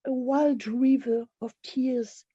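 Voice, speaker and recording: Woman sharing in tumbling phrases, drops to a whisper, mellow breathy voice, lo-fi call. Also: sits high, steady tone, takes it slowly, no echo. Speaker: newcomer meeting member. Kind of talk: emotional personal share. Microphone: video call. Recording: dull lo-fi dry call audio.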